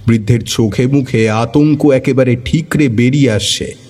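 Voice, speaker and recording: A man narrating a detective story with expressive, suspenseful delivery. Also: medium pitch, normal speed, breathy edge.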